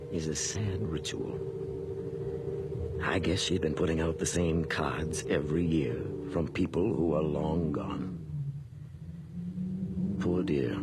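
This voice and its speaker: An actor playing a courteous, shrewd and compassionate judge in a radio drama, speaking in a measured, thoughtful way. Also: male voice, extremely low pitch, exaggerated voice. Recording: loud background ambience noise.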